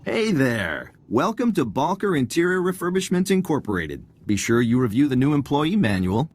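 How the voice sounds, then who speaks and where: A man's voice: mid-range voice, sing-song, moderate pace, darkish tone. An actor playing a confident male intelligence agent in a video game, wry and businesslike as he gives briefings. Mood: mock cheerful.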